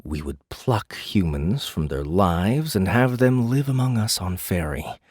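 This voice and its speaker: An actor playing a weary, haughty fae jailer in an audiobook drama, brooding and disdainful in a measured way. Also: male voice, low-pitched, animated, darkish tone, breathy edge, no room sound, clean studio sound, heavily acted. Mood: wistful, detached.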